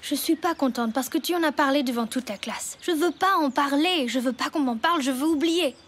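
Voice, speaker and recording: A woman's voice: high-pitched, a little fast, close to an everyday voice. A woman playing a guarded, wounded young refugee schoolgirl in a French cartoon, speaking tersely and plaintively. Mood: hurt.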